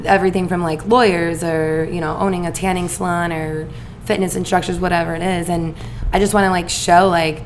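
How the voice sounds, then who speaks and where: A raspy-voiced woman co-hosts a casual podcast in a low, flat tone. She chats loosely and leans on "like" and "um" between thoughts. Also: a little fast, a little hiss or hum. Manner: chatty, filler-heavy with lots of "like". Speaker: podcast co-host.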